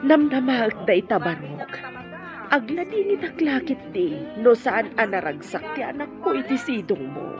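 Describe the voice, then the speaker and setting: A woman's voice: on the high side, very animated, moderate pace, muffled recording, over music. A Filipino woman playing a caring, protective older mother, tender yet admonishing, in a radio drama. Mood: solemn, loving.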